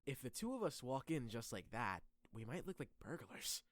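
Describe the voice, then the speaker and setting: A very animated teenage boy, acted by a man in an anime-style audio drama. His pitch swings widely as he chatters politely and nervously, in a dry, close recording. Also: moderate pace, dry recording, natural voice. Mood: earnest, insistent.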